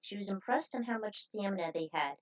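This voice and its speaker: A woman's voice: mid-range voice, animated, a little fast. A woman casually narrating fan fiction aloud as a host, in an informal, chatty way, on a muffled recording. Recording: dull lo-fi recording.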